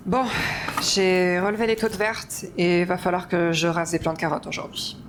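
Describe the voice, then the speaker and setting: A woman's voice: lowish voice, moderate pace, a little hiss or hum, lightly acted. Give me a woman playing a practical mother, casual and motherly. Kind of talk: audio drama acting.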